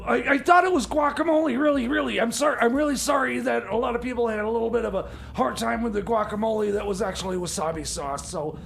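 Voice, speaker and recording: Flustered man with a very high, creaky voice. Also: crowd noise. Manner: flustered, halting, stammering, apologetic. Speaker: radio show host. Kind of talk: comedy radio banter.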